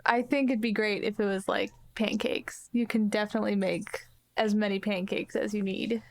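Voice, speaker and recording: A woman's voice: medium pitch, flat delivery, moderate pace, gravelly. A woman chatting casually as a player in an actual-play tabletop game. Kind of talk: casual improvised game chat.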